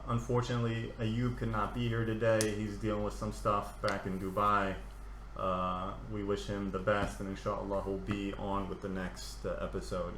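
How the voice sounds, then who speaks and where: A man's voice: lowish voice, bright, forceful tone, slight background noise. A man around thirty hosting a casual podcast, friendly and joking, with many filler words. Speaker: podcast host. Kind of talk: casual chat. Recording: echoey room with faint ambience.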